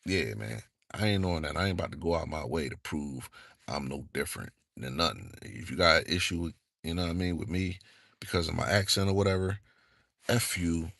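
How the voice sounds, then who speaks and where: A young man with a very low, gravelly voice talks in a loose, rambling way, circling back to his points with plenty of slang and fillers. It sounds dry and close. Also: fairly quick, fairly bright tone, no room sound. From northeastern United States. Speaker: channel host. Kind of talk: casual monologue vlog.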